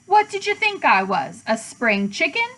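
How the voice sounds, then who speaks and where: A woman's voice: high-pitched, very animated, moderate pace, sharp tone, heavily acted. A woman voicing a big, hearty, overfamiliar male pig who cracks weak jokes in a children's storybook. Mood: jovial, brash. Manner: hearty, jokey, familiar.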